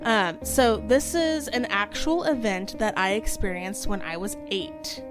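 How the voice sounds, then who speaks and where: A woman's voice: mid-range voice, expressive, a little slow, over music. A woman co-hosting a podcast, chatting casually and reading out stories. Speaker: podcast co-host telling stories.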